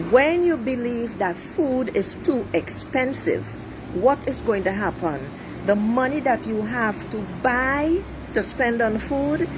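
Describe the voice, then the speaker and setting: A middle-aged woman from Trinidad with a Trinidadian accent, giving a blunt, preachy monologue to her viewers and swearing freely. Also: medium pitch. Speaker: life coach livestreaming. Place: outdoors near traffic. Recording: street traffic noise behind. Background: passing cars, a siren.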